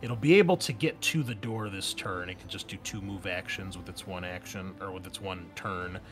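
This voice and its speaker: A man's voice: low voice, normal speed, fairly bright tone, soft background music. A man acting as game master, improvising narration and explaining rules in a conversational, wry way. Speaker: game master of a tabletop RPG.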